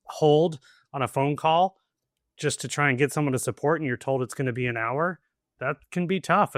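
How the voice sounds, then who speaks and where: Male podcast host, mid-pitched, dry sound. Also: fairly bright tone, no echo. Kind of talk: conversational interview hosting.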